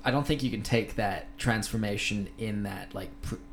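Laid-back young man, lowish voice. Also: slight background noise. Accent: American-sounding. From Philippines and Thailand. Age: 20s. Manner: laid-back, thoughtful, with many pauses and restarts. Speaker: podcast guest. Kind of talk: casual interview conversation. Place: podcast studio.

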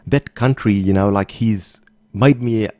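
A man's voice: fairly low pitch, measured pace, warm tone, no echo, clean studio sound. A man telling personal anecdotes in an interview, speaking emphatically and repeating phrases for stress. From Australia. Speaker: podcast guest, motivational speaker.

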